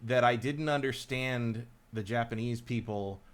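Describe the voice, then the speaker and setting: A man's voice: medium pitch, a little slow. A man co-hosting a casual game talk show, rambling with many restarts and fillers while giving blunt opinions. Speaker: podcast co-host. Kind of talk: casual chat about games.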